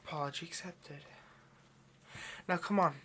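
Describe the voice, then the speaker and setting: A man playing a possessive, condescending rich vampire in an intimate ASMR roleplay, domineering and hushed, often slipping into a whisper. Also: fairly high pitch, normal speed, slight background noise, pitched above the performer's own voice, close to an everyday voice. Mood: menacing, commanding.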